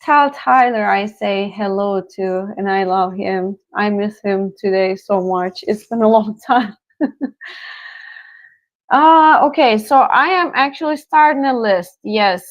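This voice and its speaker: A woman's voice: medium pitch, dry recording, muffled recording. A woman from Turkey, chatting casually with viewers as she hosts a live tarot reading stream. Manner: slow, relaxed, chatty with viewers, soft laughs. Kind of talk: casual livestream chat with viewers.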